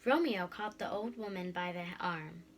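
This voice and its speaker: A young girl giving an expressive scripted reading of a story as narrator. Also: fairly low pitch, normal speed, soft background music. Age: child.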